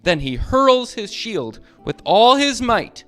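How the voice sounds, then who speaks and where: A man reading a superhero picture book aloud to children, animated and making sound effects. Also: high-pitched, slow, faint music behind. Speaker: storyteller reading to kids.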